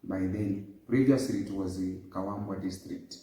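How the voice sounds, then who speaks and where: A man in his fifties answers interview questions in a measured, formal way, with a lowish, very dark and mellow voice. He is well away from the microphone, so the voice echoes around a hard room. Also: fairly even intonation. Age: 50s. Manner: measured, formal, lists dates and places. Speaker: interview guest. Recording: echoey, distant room sound.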